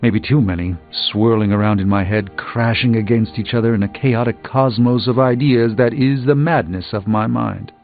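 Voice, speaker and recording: A man's voice: on the low side, moderate pace, hardly any pauses, phone-quality sound, soft background music, lightly acted. A man voicing an erudite, self-assured middle-aged sorcerer in a video game, speaking in precise, wordy, pompous phrases. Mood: musing, philosophical.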